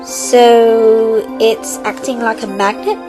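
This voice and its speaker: A woman's voice: on the high side, level tone, slow, loud music behind the voice. A woman playing a haughty, sarcastic twilight princess imp in an amateur radio play. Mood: sarcastic, puzzled. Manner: sarcastic, sighing.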